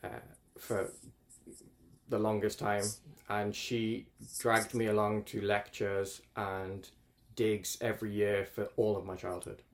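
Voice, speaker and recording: Relaxed, rambling man. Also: on the low side, takes it slowly. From northeast England. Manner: rambling, self-correcting, relaxed. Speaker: climate researcher guest. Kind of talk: casual interview chat.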